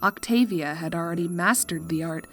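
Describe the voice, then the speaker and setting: Woman narrator, lowish voice, scripted storytelling, expressive, measured, drily comic, music underneath.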